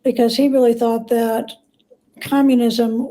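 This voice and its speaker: Higher-pitched woman, mellow and thoughtful. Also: little pitch movement. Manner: halting, thoughtful phrasing with self-corrections. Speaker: guest scholar and biographer. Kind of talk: conversational explanation in an interview.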